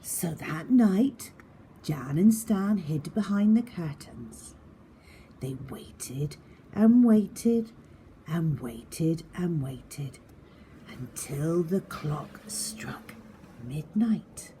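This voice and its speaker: A woman reading a children's story as narrator, dramatic and expressive, often dropping into a hushed tone. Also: low voice, drawn-out words, slight background noise.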